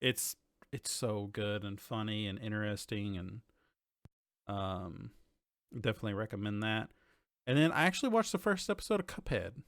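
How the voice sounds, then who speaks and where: A man's voice: fairly low pitch, expressive, moderate pace, no room sound, studio quality. A man in his thirties chatting casually as a podcast host, informal and conversational, often thinking aloud. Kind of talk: casual chat about TV and games.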